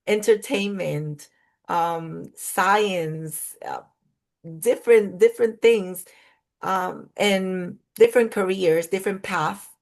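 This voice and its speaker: A woman whose first language is Spanish, a clinical psychologist being interviewed on a video call, speaking in careful, list-like phrasing. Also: mid-range voice. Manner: slow, thoughtful, list-like phrasing. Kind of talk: interview conversation.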